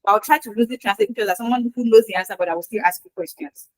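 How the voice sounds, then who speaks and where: A woman, a Yoruba speaker, answering interview questions in a lively, chatty way with acted-out quotes. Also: fairly high pitch, very fast, dry recording, low-quality recording. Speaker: guest expert in quality assurance.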